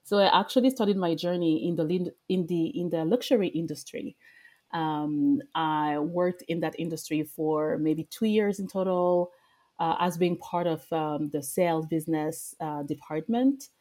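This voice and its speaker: A woman's voice: low voice, moderate pace, warm tone. A woman from France with a French accent, a podcast guest who speaks with frequent fillers and restarts. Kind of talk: podcast interview.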